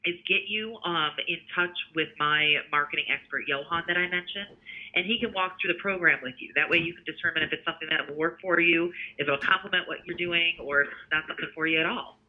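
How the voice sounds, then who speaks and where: A male sales representative speaks over a narrow, tinny phone connection. His voice sits a little higher than most men's. He talks in a conversational pitch style, explaining as he goes. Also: fast talker. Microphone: telephone.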